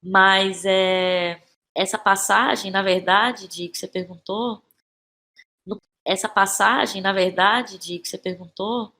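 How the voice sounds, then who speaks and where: A Brazilian woman in her thirties speaking as a guest in a conversational podcast interview, thinking aloud haltingly and repeating phrases. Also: mid-range voice, fairly even intonation, sharp tone. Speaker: interview guest, playwright and actress.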